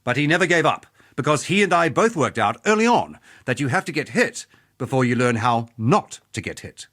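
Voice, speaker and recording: A man voicing a proud, battle-scarred duelist in a video game, telling things in an earthy, wry, measured way. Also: medium pitch, big pitch swings, fairly bright tone. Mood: proud, reflective. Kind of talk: dramatic video game character monologue.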